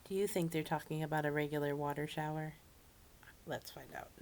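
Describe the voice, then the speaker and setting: Female story-time reader, fairly low voice, expressive picture-book narration with asides to children. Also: a little fast, slight background noise.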